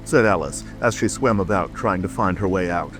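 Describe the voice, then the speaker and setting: A man narrates a classic storybook in a fairly low voice. His dramatic reading moves through a wide, lively range of pitch, with music clearly playing underneath. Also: normal speed. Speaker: audiobook narrator. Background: music, rain ambience.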